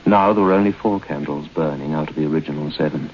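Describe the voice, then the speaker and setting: An actor playing a grave, ominous narrator of a radio ghost story, speaking in a deliberate, suspenseful way. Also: male voice, rumbling low. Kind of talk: old-time radio horror narration. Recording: muffled, noisy old radio broadcast.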